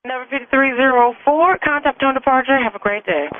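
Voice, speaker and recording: A woman's voice: high voice, fairly quick. An American woman working as an air traffic controller, giving courteous, chatty instructions over aviation radio. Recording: crackly narrow-band air traffic radio.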